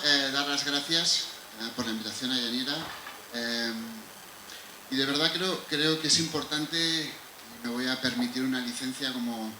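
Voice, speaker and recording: A man from Spain with a Spanish accent, answering a question on a conference panel in a conversational, discursive way. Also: mid-range voice. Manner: conversational, discursive, with rhetorical asides. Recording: echoey room with faint noise.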